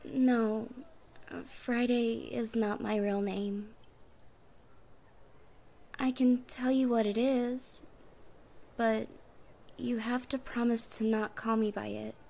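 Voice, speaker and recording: A woman's voice: fairly high pitch, steady tone, slow pace, gravelly, pauses often, dark tone. A woman playing a shy first-year college girl who is new to goth, in a casual ASMR roleplay. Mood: shy, earnest.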